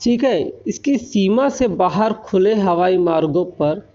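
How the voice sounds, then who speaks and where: An Indian man giving an explanatory technical lecture, often pausing to check that listeners follow. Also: sits high, animated, a little mellow. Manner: frequent check-in tags while explaining. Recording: dull lo-fi recording.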